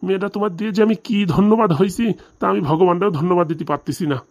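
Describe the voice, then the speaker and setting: Comic older father-in-law male actor: glutton, fawning, high-pitched, very fast, rural Bengali accent, cartoon delivery. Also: a little mellow, lightly acted. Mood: fawning, sentimental. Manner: effusive, wheedling, rambling. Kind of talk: comedy cartoon dialogue.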